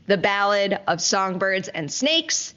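A woman co-hosting a film talk show, with an average-pitched voice and an emphatic, enthusiastic delivery. She chats casually like a reviewer giving her take. The recording is slightly dull and lo-fi. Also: big pitch swings, slow pace, a bit bright. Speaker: host and film critic.

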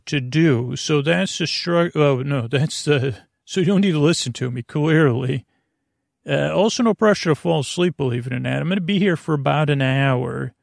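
A man with a creaky voice hosts a sleep podcast, rambling soothingly in hushed golf-commentator tones, haltingly meandering and over-explaining. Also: medium pitch, dry recording, clean studio sound. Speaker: host of a bedtime sleep podcast.

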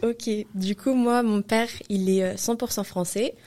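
A woman's voice: medium pitch, fairly even intonation, measured pace, mellow. An eighteen-year-old woman from France, a student guest on a podcast, chatting casually about herself. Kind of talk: casual personal chat.

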